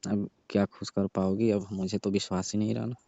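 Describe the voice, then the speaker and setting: Man acting low, dark-voiced sulky young repairman. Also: little pitch movement, a little fast, creaky, no echo. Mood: sulky, distrustful. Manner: complaining, grudging. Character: sulky, distrustful young repairman, friend of the woman's family. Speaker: heater repairman. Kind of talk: staged comic phone call. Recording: dull, dry lo-fi phone recording.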